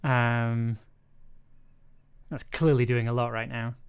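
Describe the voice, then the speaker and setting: A streamer talking casually and haltingly while making music, thinking aloud with playful exclamations. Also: medium pitch, flat delivery, clean tone. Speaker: streamer, audio drama producer and composer. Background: music being worked on, keyboard clicks and typing.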